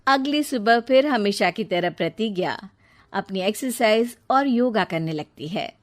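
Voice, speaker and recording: A woman's voice: mid-range voice, expressive, measured pace. A woman narrating a scripted story as a storyteller.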